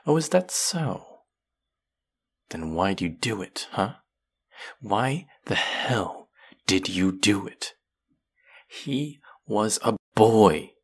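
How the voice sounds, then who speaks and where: A man playing a bitter, menacing fantasy villain in an audio roleplay, with a gravelly, husky voice and a sardonic edge. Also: on the low side, animated, exaggerated voice. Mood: anguished rage. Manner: menacing, sardonic, slow and deliberate. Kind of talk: dramatic audio roleplay acting addressed to the listener.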